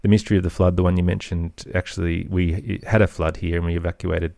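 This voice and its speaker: A man's voice: sits low, little pitch movement, quick pace, creaky, warm tone, no echo, clean studio sound. A man with an Australian accent, chatting casually as an interview guest about his work. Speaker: interview guest, children's podcast creator.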